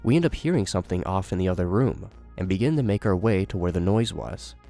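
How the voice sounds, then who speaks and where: A man's voice: deep voice, lively intonation, fast talker, gravelly, mellow, faint music behind. A man giving scripted narration and commentary as a horror series commentator.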